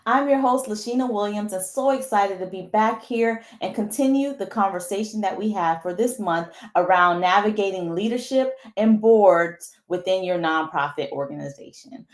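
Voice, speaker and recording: A woman's voice: medium pitch, normal speed, hardly any pauses, reverberant. A woman hosting a live online talk show, enthusiastic and upbeat, with hesitant fillers. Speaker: host.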